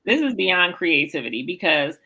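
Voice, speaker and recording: Female podcast interviewer, slightly low and gravelly, casual, enthusiastic and encouraging. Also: sing-song, normal speed, bright, forceful tone.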